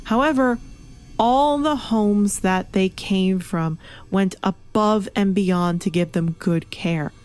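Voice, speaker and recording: A woman's voice: mid-range voice, takes it slowly, darkish tone, soft background music. A woman reviewer delivering scripted video essay commentary in an opinionated, argumentative way. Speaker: comic book reviewer.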